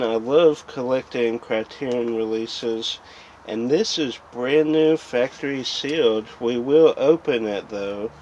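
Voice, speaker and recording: A man's voice: mid-range voice, darkish tone. Man rambling casually, dull lo-fi recording. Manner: rambling, thinking aloud as he looks over items. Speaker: host of a video channel about film collecting. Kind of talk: casual unscripted haul vlog chat. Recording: dull lo-fi sound with background noise.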